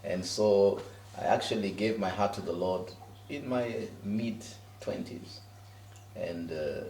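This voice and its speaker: A man's voice: sits low, takes it slowly, creaky, a little mellow, echoey room, recorded from a distance, a little hiss or hum. A Kenyan pastor in his fifties, easygoing and chatty, talking about his life in a house interview. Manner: easygoing, laughs often, many fillers. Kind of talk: relaxed interview answers. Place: living room in a house.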